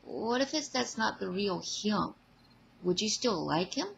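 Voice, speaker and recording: A woman's voice: medium pitch, expressive, a little slow, gravelly, husky, exaggerated voice, low-quality recording. A woman voicing an awkward, sheepish teenage boy in a fan audio drama, hesitant and stammering. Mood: tentative.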